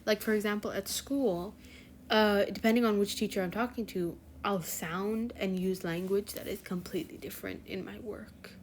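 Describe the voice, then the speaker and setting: Teen girl, casual vlog chat, rambling, self-correcting, mid pitch. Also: fairly even intonation, normal speed, a little hiss or hum. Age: teens. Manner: rambling, chatty, many fillers and self-corrections. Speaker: fanfiction channel host.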